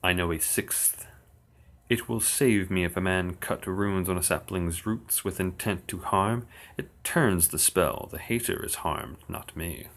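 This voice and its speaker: A man reciting old verse slowly and steadily on his livestream, with dry asides. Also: low voice, creaky, a bit bright. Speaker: occult streamer. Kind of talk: reading verse aloud on a livestream.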